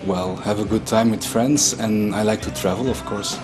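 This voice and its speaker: A man in his thirties from Belgium, introducing himself slowly and hesitantly. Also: fairly low pitch, steady tone, over music. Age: 30s. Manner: slow and hesitant. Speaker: contestant. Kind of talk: casual self-introduction.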